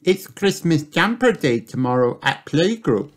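Man putting on a high-pitched little girl pig. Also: big pitch swings, unhurried. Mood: eager, curious. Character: excited little girl pig, sweet and eager. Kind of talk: children's storybook read-aloud.

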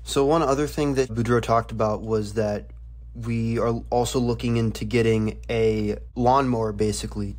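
Young man, flat conversational delivery. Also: medium pitch. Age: young adult. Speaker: student reporter interviewed on a podcast. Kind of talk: conversational explanatory report.

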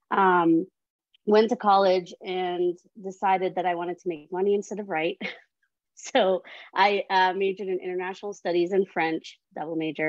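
A middle-aged woman from Trinidad and Tobago, an author chatting casually on a panel, animated and self-deprecating. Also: fairly low pitch, no room sound. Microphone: video call. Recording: dry, dull video call audio.

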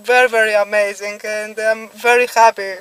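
Halting Greek-accented woman on ham radio. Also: mid-range voice, little pitch movement, fairly bright tone, smooth, rough recording. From Greece. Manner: halting, searching for words. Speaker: amateur radio operator. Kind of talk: ham radio contact, conversational. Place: inside a car at night.

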